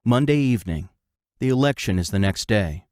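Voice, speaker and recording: A man narrating an audio drama from a script, announcing scenes in a measured way. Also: lowish voice, expressive, a little mellow, dry recording, clean studio sound, faint music behind.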